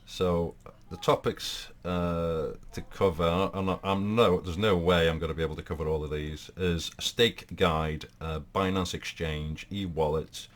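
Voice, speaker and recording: Portuguese-accented male host, deep voice, loose and rambling with lots of restarts. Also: fairly even intonation, unhurried, few pauses. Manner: rambling, many fillers and restarts. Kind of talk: casual live talk.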